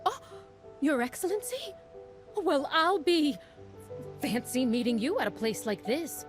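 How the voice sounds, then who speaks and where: A fawning, talkative mom, animated delivery. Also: female voice, high-pitched, a little slow, over music. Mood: gushing. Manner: gushing, rambling, flattering. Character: proud, chatty village mother. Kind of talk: video game voice acting.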